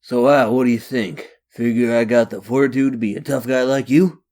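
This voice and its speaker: Male actor as chummy vagrant, mid-pitched, folksy, slangy, joking, comic dub. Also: steady tone, brisk, darkish tone, close to an everyday voice. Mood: jovial, teasing. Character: joking, chummy vagrant drinking with his pals.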